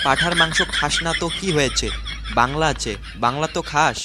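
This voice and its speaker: A man's voice: a little high, a little fast, over loud music, natural voice. A man voicing a brash, foul-mouthed, complaining young village friend in a comic cartoon dub. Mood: irritated, eager. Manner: complaining, bantering.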